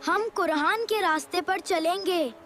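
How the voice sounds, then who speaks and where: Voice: very high-pitched, measured pace, fairly bright tone, faint music behind, full character voice. A performer playing an eager, obedient young child pupil who gives earnest, agreeable answers in a scripted religious cartoon.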